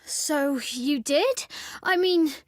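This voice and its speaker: A woman's voice: high voice, very slow, dry recording, clean studio sound. An actress playing a sincere, wide-eyed young boy king in a video game. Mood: puzzled, astonished.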